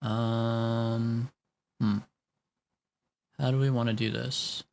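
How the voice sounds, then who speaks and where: Guy in his late 20s rambling to his stream chat, bit of gravel in his voice, mid-pitched. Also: level tone, drawn-out words, a little mellow. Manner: rambling, chatty, laughs often, talks to chat. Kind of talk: casual live-stream chat while drawing.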